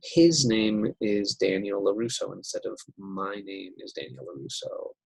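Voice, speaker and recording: A man teaching an English writing lesson over slides, unhurried and step by step, with many fillers. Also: on the low side, gravelly, a little mellow, breathy edge, rough recording.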